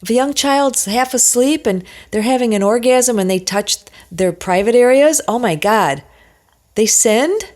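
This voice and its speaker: A woman's voice: a little high, lively intonation, unhurried, a little mellow. A woman giving an informal religious teaching talk, emphatic and insistent, repeating her points and posing rhetorical questions.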